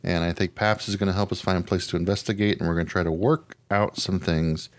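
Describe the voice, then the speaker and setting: A man's voice: low voice, fairly even intonation, quick pace, no room sound. A man hosting a podcast in casual chat, talking informally with some mild swearing and self-deprecation. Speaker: podcast host.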